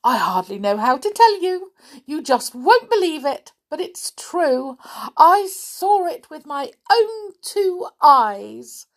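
A woman puts on a little storybook lady who cannot stop chattering. Her voice is pitched well up and leaps about with excitement. She gushes and exclaims, stringing out her news breathlessly. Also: slow, full character voice. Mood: gushing excitement. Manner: gushing, rambling, exclaiming. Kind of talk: children's storybook character voice.

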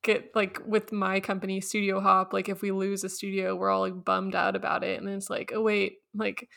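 A woman's voice: mid-range voice, monotone, normal speed, creaky, fairly bright tone. A woman hosting a podcast, chatty and casual, filling her talk with "like" and laughing easily. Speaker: podcast host, company founder. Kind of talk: casual chat.

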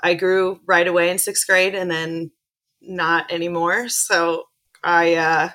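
A young woman, a professional soccer player, chatting casually about her background in an interview, telling stories in a relaxed way. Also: on the low side, slow pace. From born in Chile, grew up in Illinois and Indiana. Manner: chatty, relaxed storytelling with frequent restarts.

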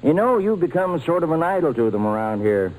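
An actor playing a steady, fatherly baseball manager in an old-time radio drama, speaking in an avuncular, persuasive way. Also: on the high side, brisk, rough recording. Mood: reassuring, persuasive.

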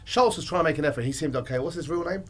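A young man on a podcast who asks questions in a laid-back, halting way. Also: on the high side, a bit bright, slightly rough. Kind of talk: casual podcast chat.

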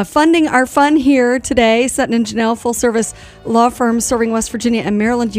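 A woman's voice: on the high side, darkish tone, dry recording. An American woman chatting informally as host of a live radio interview. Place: radio studio.

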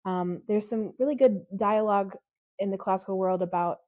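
A woman who teaches high school, talking casually about her classes in a mellow voice. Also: moderate pace, no echo, dull audio.

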